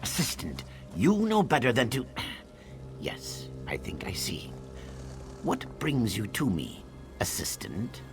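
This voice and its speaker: An actor playing a solemn male reptilian keeper of sacred eggs in a fantasy game, with breathy, deliberate delivery. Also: medium pitch, huge pitch swings, full character voice. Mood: grave, guarded. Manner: slow, grave, deliberate. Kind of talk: fantasy video game dialogue. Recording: game music behind the voice.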